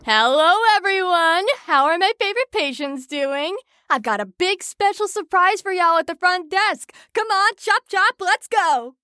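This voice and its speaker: A woman's voice: animated, measured pace, hardly any pauses, bright, forceful tone, dry recording, studio quality, full character voice. A woman playing a peppy but frazzled, sarcastic supervisor of patients in an audio drama. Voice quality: high-pitched. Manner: perky, folksy, exasperated when challenged.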